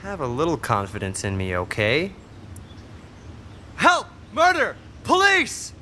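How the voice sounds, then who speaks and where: An actor playing a wry, resourceful captive teenage younger brother in an audio drama, dry and matter-of-fact. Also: male voice, fairly high pitch, huge pitch swings, slow, sharp tone, exaggerated voice. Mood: shouting for help.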